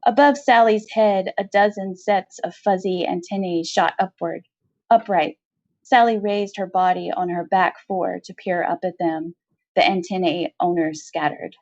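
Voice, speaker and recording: A woman reading a short story aloud as expressive scripted narration. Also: lowish voice, measured pace. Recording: dull, roomy home recording.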